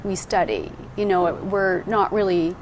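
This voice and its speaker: A woman's voice: medium pitch, a little slow, a little hiss or hum. Woman host asking follow-up questions. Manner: conversational, probing follow-up questions. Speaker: talk show host. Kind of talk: interview questions.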